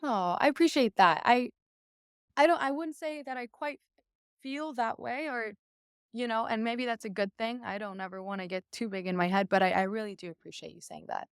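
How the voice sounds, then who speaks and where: A woman's voice: a little high, normal speed, clear, resonant tone, dry recording, studio quality. A young adult woman whose first language is Persian, speaking as a podcast guest in a candid, chatty way with frequent fillers. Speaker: interview guest, violinist. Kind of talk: casual podcast conversation.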